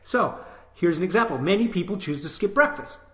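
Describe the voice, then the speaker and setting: A man's voice: high-pitched, expressive, brisk, roomy sound. A Canadian man, a personal trainer, talking casually to camera, a bit stuffy from a cold, coaching directly and checking in with "okay?". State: nasal from a cold, sniffling.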